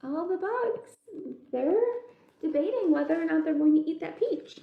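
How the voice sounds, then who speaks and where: A woman's voice: extremely high pitch, animated, moderate pace, narrow, tinny audio. A woman hosting a children's storytime, explaining things simply and putting questions to young listeners. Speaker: children's librarian, storytime host. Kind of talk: children's storytime read-aloud and sign teaching. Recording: roomy, echoey indoor sound.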